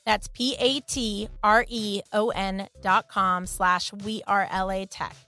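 A woman's voice: medium pitch, fairly even intonation, slow pace, bright, forceful tone, soft background music. A woman hosting a podcast interview, speaking in a casual, enthusiastic and encouraging way. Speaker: podcast host.

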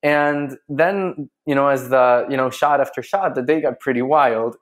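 A man's voice: medium pitch, unhurried. Chilean man telling a story with laughs. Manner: laughs often while telling stories. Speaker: guest, drinks brand founder. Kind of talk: casual podcast chat, storytelling.

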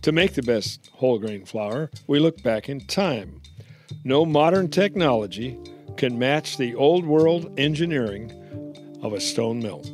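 Man explaining over soft guitar. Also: medium pitch, lively intonation, a little slow. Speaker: miller in an ad. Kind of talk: scripted ad dialogue. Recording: faint guitar music behind.

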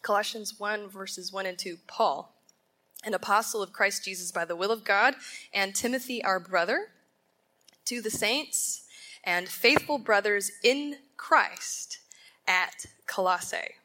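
Slow, bright-voiced woman preaching. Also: medium pitch. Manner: deliberate, emphatic, asks rhetorical questions. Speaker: Bible teacher at a women's conference. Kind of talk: sermon. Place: conference ballroom.

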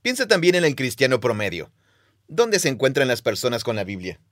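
A man's voice: medium pitch, sing-song, fairly quick, no echo. Dry-voiced man explaining Bible history. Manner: explanatory, thinks aloud with frequent agreeing murmurs. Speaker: podcast co-host, Bible teacher. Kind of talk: casual conversation.

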